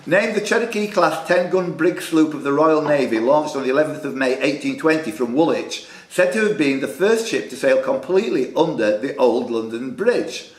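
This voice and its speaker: A man's voice: fairly high pitch, expressive, normal speed, hardly any pauses. A man hosting a quiz in a club room, reading out questions and bantering in a jokey, teasing way. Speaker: quiz host. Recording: echoey room recording with background noise.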